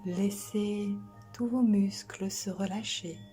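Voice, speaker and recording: A woman guiding a sleep relaxation as a yoga instructor, soothing and gentle, soft and breathy, often near a whisper, with long pauses. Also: mid-range voice, fairly even intonation, soft background music.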